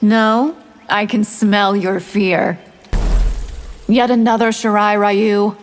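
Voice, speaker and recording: A woman's voice: medium pitch, steady tone, slow. A woman voicing a bloodthirsty winged vampire in a fighting game, predatory and teasing. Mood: hungry, taunting. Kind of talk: fighting game character acting. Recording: long hall reverb, faint music.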